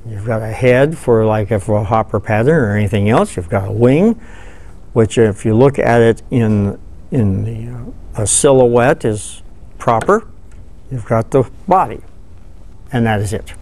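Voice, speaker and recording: An older man giving a relaxed, folksy fly-tying tutorial, thinking aloud as he works at a table in a studio. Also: lowish voice, a little slow. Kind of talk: hands-on tutorial. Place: studio with dark backdrop, seated at table.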